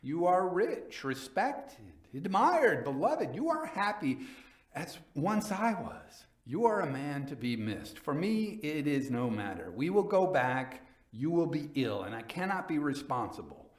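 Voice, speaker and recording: Man playing a solicitous schemer, lilting and deliberate. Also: a little high, big pitch swings, echoey room, distant mic, voice pushed up. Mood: feigned concern. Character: cunning male avenger feigning tender concern for a friend. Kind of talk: dramatic gothic storytelling.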